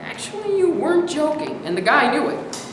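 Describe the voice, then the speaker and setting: A woman's voice: sits high, very animated, moderate pace. A woman playing a scornful, mocking inner alter ego in a staged play reading. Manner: mocking, cutting. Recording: echoey hall, clear background noise.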